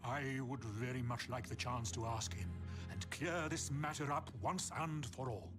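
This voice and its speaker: An actor playing a solemn, rambling old sage in a video game, formal, musing and absent-minded. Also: male voice, medium pitch, a little slow, over music, lightly acted. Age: older. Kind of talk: video game character dialogue.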